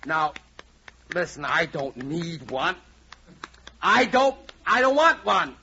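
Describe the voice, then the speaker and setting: A man's voice: a little high, sing-song, takes it slowly, bright, forceful tone, husky, full character voice. A man acting a reluctant, flustered barbershop customer in a 1940s radio comedy, hesitant and protesting. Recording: muffled, faintly noisy 1940s radio recording with clicks.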